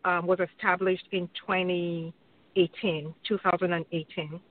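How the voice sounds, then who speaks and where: A woman's voice: lowish voice, little pitch movement, measured pace, a little hiss or hum. Earnest woman over a phone line. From Nigeria. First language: Yoruba. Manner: earnest, careful, with many ums. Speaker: guest, social worker. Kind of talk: interview answers.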